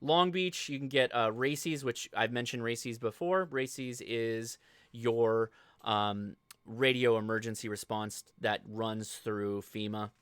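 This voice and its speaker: An American man hosting a live show, giving a casual, informal software walkthrough and thinking aloud as he goes. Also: mid-range voice, takes it slowly, clear, resonant tone, no room sound. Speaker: host of a live ham radio show.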